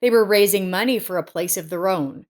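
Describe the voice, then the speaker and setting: A woman's voice: medium pitch, brisk. A woman reading a scripted family drama story as its narrator.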